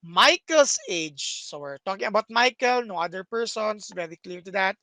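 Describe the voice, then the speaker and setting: A man's voice: sits high, expressive, moderate pace, pushed and bright, no echo. A young man teaching a math lesson over a screen recording, explaining step by step with many "okay"s, recorded at a desk.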